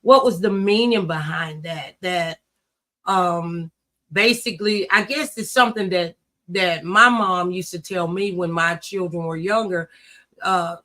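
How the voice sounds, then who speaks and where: A woman's voice: on the low side, unhurried, pushed and bright. A middle-aged woman hosting a talk show, speaking conversationally as she interviews and reflects.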